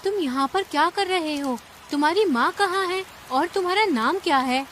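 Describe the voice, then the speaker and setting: A woman voicing a caring, righteous female bird in an animated fable, speaking in an earnest, concerned way. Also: very high-pitched, normal speed, a little hiss or hum. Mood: concerned, kindly.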